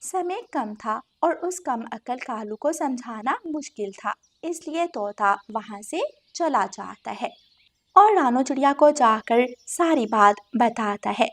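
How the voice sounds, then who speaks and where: High-voiced woman narrating a children's story. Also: animated, slow pace, a bit bright.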